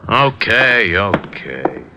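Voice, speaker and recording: A man's voice: sits low, sing-song, very slow, background noise, heavily acted. A man playing a sullen, curt gangster henchman in a 1940s radio crime drama.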